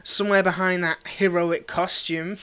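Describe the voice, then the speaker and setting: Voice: medium pitch, little pitch movement, measured pace, fairly bright tone, clean tone, lightly acted. An actor playing a cold, condescending tsundere villain in a dramatic audio roleplay, taunting and theatrical as they address the listener directly. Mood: taunting, haughty. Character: cold, mocking tsundere villain, grudgingly tending a wounded hero.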